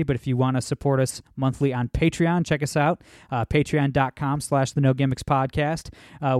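A man's voice: mid-range voice, a little mellow, dry recording, studio quality. A man hosting a podcast in a casual, upbeat way, with lots of fillers. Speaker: podcast host.

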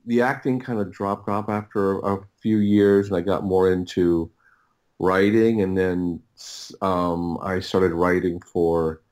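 A man's voice: low voice, flat delivery, darkish tone, low-quality recording. A middle-aged man telling stories casually as an interview guest, often doubling back and breaking off his phrases. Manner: halting, repetitive, rambling anecdotes with self-interrupted phrases. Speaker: podcast guest, writer and audio producer.

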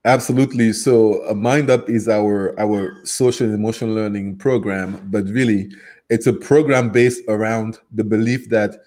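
A man's voice: fairly low pitch, fairly even intonation, normal speed, a little mellow. A man in his thirties from Cameroon, a native French speaker with a Cameroonian French accent, talking as an upbeat, earnest interview guest. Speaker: guest, program facilitator. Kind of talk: casual interview talk.

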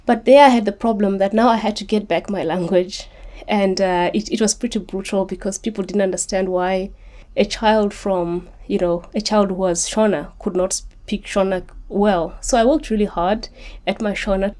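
A woman's voice: mid-range voice, fairly even intonation, moderate pace, warm tone. A woman, an author giving earnest, articulate interview answers and often repeating words as she restarts. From Zimbabwe. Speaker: author guest.

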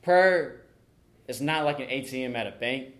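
A young man preaching and reading scripture at a church service, deliberate, pausing between short phrases. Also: mid-range voice, a bit bright. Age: young adult. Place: church sanctuary during a service.

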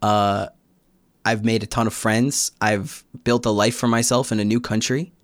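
A man's voice: fairly low pitch, steady tone, normal speed, no echo, clean studio sound. A young American man talking reflectively as a podcast guest, explaining his thoughts in a casual conversational way. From United States. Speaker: podcast guest, Korean learner.

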